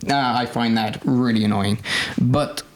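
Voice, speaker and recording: A man's voice: mid-range voice, fairly even intonation, measured pace, poor audio. Young male, mid-range voice, earnest, explanatory, student podcast advice segment. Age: teens or young adult.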